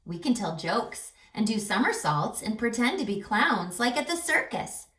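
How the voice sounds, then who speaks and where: A woman's voice: a little high, big pitch swings, normal speed, fairly bright tone. A woman voicing a band of kindly, eager forest animals in a children's storybook, exclaiming and encouraging. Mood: eager, excited. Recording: roomy echo, distant mic, music behind.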